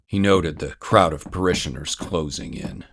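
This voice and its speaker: A man's voice: extremely low pitch, moderate pace, creaky, noisy background. A man narrating scripted dramatic fiction as a storyteller. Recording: music bed under the voice. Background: music.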